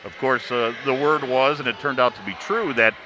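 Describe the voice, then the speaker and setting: A man's voice: medium pitch, moderate pace. A man giving sports analysis on a live broadcast in fluent, upbeat announcer phrasing. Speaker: play-by-play announcer and sports panelist. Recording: clear background noise.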